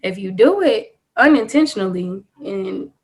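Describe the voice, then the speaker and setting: A woman's voice: medium pitch, expressive, measured pace. Earnest young woman giving business advice. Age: 20s. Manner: earnest, emphatic, repeats key phrases. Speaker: guest business owner. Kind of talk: casual podcast interview.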